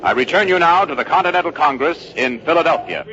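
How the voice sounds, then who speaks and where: An eager American male reporter with a slightly high voice. He gives crisp, descriptive radio commentary in old newscast style. The recording is dull and dated. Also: animated, quick pace, pushed and bright. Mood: solemn, respectful. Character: eager, persistent male radio field correspondent. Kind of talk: 1940s radio news commentary, dramatized.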